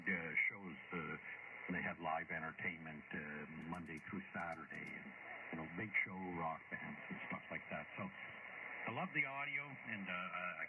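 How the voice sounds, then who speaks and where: An older man chatting in a measured, polite way over amateur radio. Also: sits low. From Canada. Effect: radio transmission. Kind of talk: casual rag-chew radio chat. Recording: tinny, noisy shortwave radio signal.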